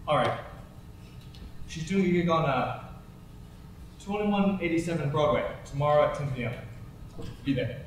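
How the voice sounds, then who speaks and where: An actor playing a brisk, businesslike newspaper editor, giving instructions in a naturalistic way. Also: male voice, a little high, close to an everyday voice. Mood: doubtful, relenting. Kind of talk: naturalistic film acting. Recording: very echoey, distant microphone, faint noise.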